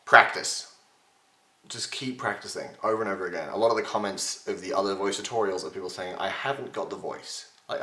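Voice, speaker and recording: Young man, lowish pitch, slightly gravelly from strain, chatty self-mocking webcam tutor, roomy home echo. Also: a bit bright. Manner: chatty, self-mocking, gestures with his hands. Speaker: voice impression tutor. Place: home room with shelves, indoors. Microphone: webcam at desk distance.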